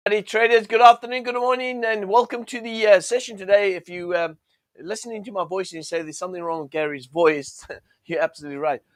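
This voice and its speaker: A man with a cold, his voice a little croaky, hosting a chatty forex chart session with lots of fillers and restarts. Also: sits high, steady tone, fairly quick, dry recording. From United States. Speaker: forex trading show host. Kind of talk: casual chart commentary.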